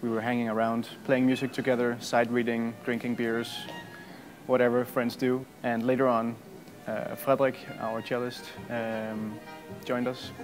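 A Danish man in his thirties, a violinist, answering interview questions in a relaxed, good-humoured way. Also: mid-range voice. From Denmark. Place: museum gallery.

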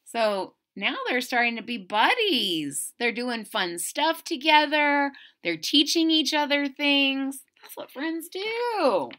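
A woman reading a picture book aloud expressively, pausing to explain and ask young listeners simple questions. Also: high voice. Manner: animated, explanatory, addressing children with questions. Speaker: reader of a children's picture book.

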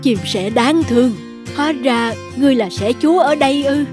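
A woman voicing a gentle old woodcutter in a children's fairy tale, softly and compassionately. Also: high-pitched, normal speed, natural voice. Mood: humble. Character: kind, gentle old woodcutter, a poor man. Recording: music behind the voice.

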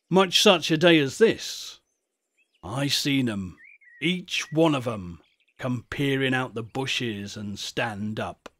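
A man's voice: medium pitch, fairly bright tone. A man voicing a superstitious old shepherd in a storybook, with a rustic English country dialect and a grave, folksy, emphatic way of warning.

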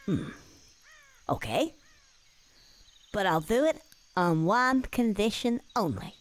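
A man's voice: high voice, very animated, slow, heavily acted. An actor playing a cocky, wisecracking young male squirrel in a video game cutscene. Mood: bargaining.

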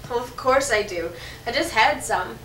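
A woman's voice: fairly high pitch, expressive, moderate pace, a bit bright. A young woman playing a nervous, defensive woman answering a creepy call in a horror spoof. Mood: defensive, alarmed. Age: young adult. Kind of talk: horror spoof film acting. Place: small home kitchen. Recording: distant, echoey, noisy home-camcorder audio.

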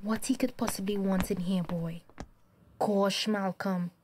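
A woman's voice: medium pitch, steady tone, a little slow, background noise, natural voice. An actress with a Tobagonian accent playing an evasive young daughter who shields her crooked boyfriend in a radio drama. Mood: feigned weakness.

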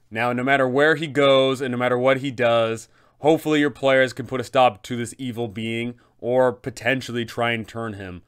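A man's voice: medium pitch, fairly bright tone. Emphatic man hosting a game guide. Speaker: host of a tabletop game master guide. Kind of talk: enthusiastic explainer to camera.

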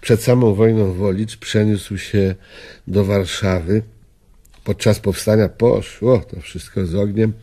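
An actor playing a weary, nostalgic old gentleman in a radio drama, speaking wistfully in halting, declamatory phrases. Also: lowish voice. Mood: wry, reminiscing. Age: older.

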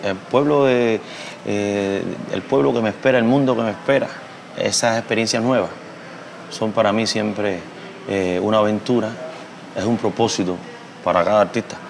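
A Cuban man in his thirties talks in a low, gravelly voice with a Cuban Spanish accent. He speaks thoughtfully, pausing with fillers and restarting phrases. A faint hum sits under the recording in a bright indoor room. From Cuba. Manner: reflective, hesitant with fillers and restarts, expressive hand gestures. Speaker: musician. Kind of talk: interview answers.

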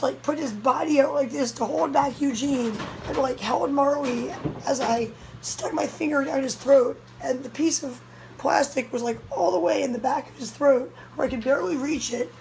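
A young woman with a hoarse voice, telling a story in a casual vlog, urgent and breathless, filmed close up on a phone in a small room indoors. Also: high-pitched, darkish tone, slight background noise, low-quality recording. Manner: breathless, urgent retelling of a scare. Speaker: cat vlogger. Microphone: phone camera held close, low angle.

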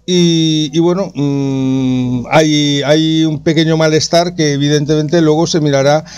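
A man's voice: a little high, steady tone, unhurried, a little mellow, clean tone. A man from Spain with a Spanish accent, hosting a sports talk radio show with many hesitations and restarts. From Catalonia, Spain.

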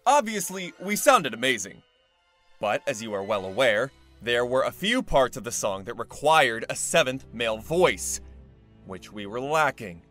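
A vain merman prince, acted by a man, pompous and irritated. His medium-pitched voice is lively in an audio drama, with faint music behind. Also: measured pace.

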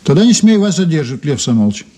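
An actor playing a composed investigator in a radio play, measured and dryly ironic. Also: male voice, fairly high pitch, very animated, mellow. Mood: businesslike, courteous. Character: composed, wry male investigator recounting an old case. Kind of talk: radio drama dialogue and narration.

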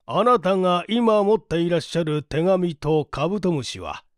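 A man voicing a polite male client recounting a family scandal in measured storytelling, in an audiobook mystery. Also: high voice, expressive, dry recording, studio quality, pitched above the performer's own voice.

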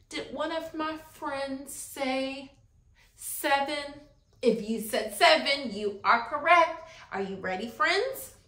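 An American woman in her thirties speaking as a preschool teacher, animated and encouraging, asking young children simple questions and pausing for them. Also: high voice. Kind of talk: children's circle time lesson, addressing young viewers directly. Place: small classroom-style room, indoors. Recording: roomy indoor echo.

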